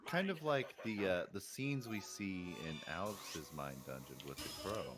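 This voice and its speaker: A man's voice: deep voice, expressive, a little slow, over music. A man on a game stream making dry, wry remarks in casual chat. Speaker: gamer on a group stream.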